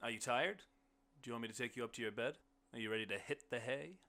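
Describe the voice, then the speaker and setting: Man as an awkward husband, sharp bright voice. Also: medium pitch, quick pace, voice pushed up. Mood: polite, offering. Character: uneasy husband, awkward, dry host to a guest. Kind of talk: audiobook character dialogue.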